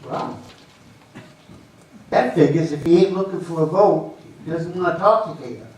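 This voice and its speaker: A folksy, talkative small-town working man acted by a man in a stage comedy, with a fairly high voice. He rambles and needles in plain colloquial talk, heard from a distance in a very echoey room. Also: unhurried, a little mellow, close to an everyday voice. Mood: needling. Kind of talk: amateur readers theater comedy. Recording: echoey room, distant mic, faint noise.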